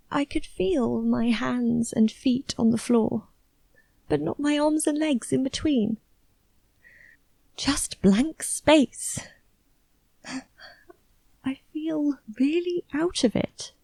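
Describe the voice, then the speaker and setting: A woman acting a dazed, disconnected diarist in a quiet, detached monologue. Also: sits high, slow pace, vocal fry, pauses often, dry recording, full character voice. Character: dazed, disconnected young woman confiding in a diary. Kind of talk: acted diary monologue with music.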